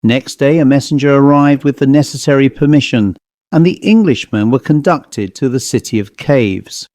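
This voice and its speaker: A man narrating a scripted story as an audiobook reader, in a measured, deliberate manner. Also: medium pitch, darkish tone, no echo, clean studio sound.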